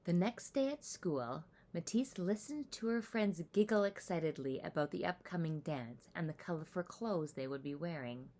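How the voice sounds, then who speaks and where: A woman reading a children's story aloud with animated, deliberate, expressive narration. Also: lowish voice.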